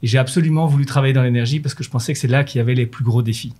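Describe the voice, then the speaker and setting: Measured middle-aged man explaining business. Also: medium pitch, steady tone, a little mellow. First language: French. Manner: measured, explanatory, frequent fillers and restarts. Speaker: renewables manager at an energy company. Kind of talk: corporate interview answers.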